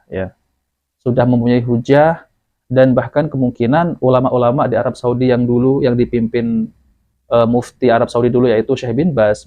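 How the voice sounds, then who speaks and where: An Indonesian man giving informal religious commentary as a host, repeating himself and often tagging phrases with a short filler. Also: medium pitch. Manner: repetitive, often tags phrases with "ya". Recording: dull lo-fi audio.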